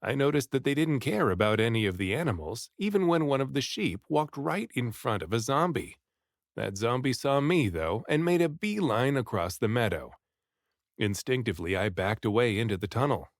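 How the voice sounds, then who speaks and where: A man reading a story aloud in an expressive, dramatic first-person audiobook style. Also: mid-range voice, moderate pace, dry recording, clean studio sound. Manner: dramatic, animated delivery with acted dialogue. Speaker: read-aloud narrator and voice actor.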